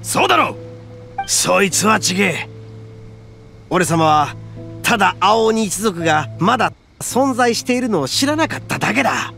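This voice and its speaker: A man voicing a brash, boastful male oni brawler who talks in rough, swaggering speech, over game music. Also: high voice, expressive, a little slow, long pauses, pushed and bright, heavily acted. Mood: proud, indignant. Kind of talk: Japanese game voice acting.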